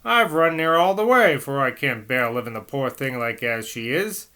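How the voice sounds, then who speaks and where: A man's voice: medium pitch, expressive, moderate pace, pushed and bright, clear, resonant tone. A man voicing an agitated working-class woman pleading at a doorstep.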